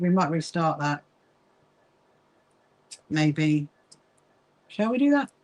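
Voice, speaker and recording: A woman's voice: medium pitch, a little mellow, rough recording. A woman from England's south coast with a mixed Kentish and Sussex accent, chatting casually with livestream viewers while she sews. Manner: chatty, greets viewers and answers their comments. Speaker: livestream host of a quilting association.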